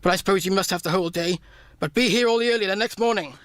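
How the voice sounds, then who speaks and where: A sour old miser in a radio drama. His voice sits very high for a man and has a piercing edge, recorded close and dry. He speaks in curt, theatrical, disdainful phrases. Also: very fast, a bit bright, no echo. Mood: irritable, scornful. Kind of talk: radio play acting.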